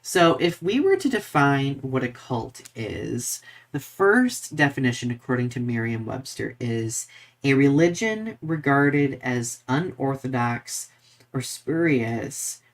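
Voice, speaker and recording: A man's voice: medium pitch, lively intonation, slow. A man co-hosting a live podcast in a casual, conversational way, thinking aloud as he explains things. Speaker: podcast co-host.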